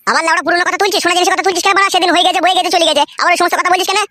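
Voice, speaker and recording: A man's voice: very high voice, fairly even intonation, racing, sharp tone, slight background noise. A man voicing a harried, foul-mouthed village husband in a comedy cartoon, grumbling coarsely. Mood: exasperated, arguing.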